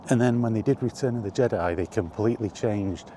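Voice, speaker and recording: A middle-aged man chatting casually and reminiscing in a halting, thinking-aloud way, in short rambling phrases. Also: on the low side, warm tone, slight background noise. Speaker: video creator and host. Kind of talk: casual reminiscing monologue about retro games. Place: outdoors while walking.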